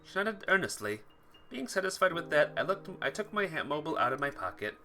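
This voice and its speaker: A let's player reading a visual novel aloud as expressive narration, sometimes stumbling and rereading phrases. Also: medium pitch, fairly quick, music in the background. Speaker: video game let's player.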